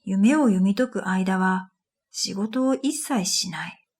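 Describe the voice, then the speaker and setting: Lady acting a pensive dream reader telling her story, slow n breathy, quiet radio drama narration w/ soft music. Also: medium pitch. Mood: pensive, calm. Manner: quiet, measured, pensive, trailing into breathy phrase ends. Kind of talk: scripted first-person radio drama narration.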